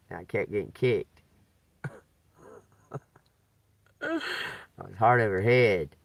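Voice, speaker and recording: A man hosting a live stream, chatting loudly and laughing often while telling profane stories. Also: lowish voice, lively intonation, very slow, gravelly, dry recording. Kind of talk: rambling casual live stream chat.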